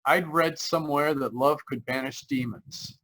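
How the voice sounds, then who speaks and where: A haunted young traveler, acted by a man, speaks in a fairly high voice with 1940s radio drama intensity. He halts, stammers and repeats himself, sounding frightened and desperate. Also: pushed and bright, low-quality recording. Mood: dread, unravelling. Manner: halting, stammering, repeating phrases. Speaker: actor narrating and playing the lead. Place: remote live performance over video call.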